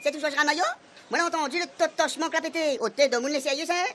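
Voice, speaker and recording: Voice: high voice, racing, fairly bright tone, a little hiss or hum. A performer with a Réunion Creole accent playing a garrulous, over-familiar police sergeant who loves puns, in a comic radio serial. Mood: familiar, teasing. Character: chatty, joking Réunionese police sergeant, relentless prankster.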